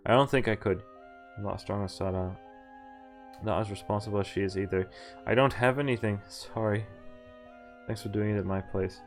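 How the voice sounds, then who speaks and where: Guy with a lowish voice reading a game out loud on stream, swears a lot, faint music behind. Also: steady tone, fairly quick. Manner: swears freely. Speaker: streamer playing a visual novel. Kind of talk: reading game text aloud with casual commentary.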